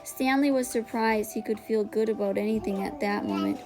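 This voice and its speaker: A woman's voice: mid-range voice, wildly swooping pitch, fairly quick, rough recording. A woman reading a storybook aloud as scripted narration. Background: music.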